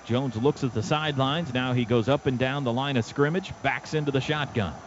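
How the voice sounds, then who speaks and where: A man's voice: medium pitch, fairly quick. An American man calling live football on radio as play-by-play announcer, in short clipped present-tense bursts. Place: stadium radio booth. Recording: dull, noisy stadium radio broadcast. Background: clear outdoor stadium background noise.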